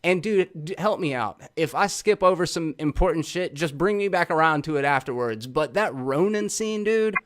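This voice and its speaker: A man's voice: on the high side, lively intonation, normal speed, few pauses, fairly bright tone. A man hosting a casual film review show, effusive and swearing freely as he talks. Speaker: host of a movie review show.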